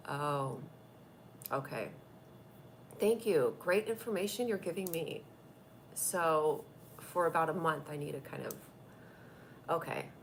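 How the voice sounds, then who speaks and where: Woman w a stuffy cold rambling on her live stream from a tablet, kinda low voice. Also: takes it slowly, vocal fry, pauses often, slight background noise. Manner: chatty and rambling, reacting to comments. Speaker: comedy show host doing a live stream. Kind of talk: casual live-stream chat reading viewer comments.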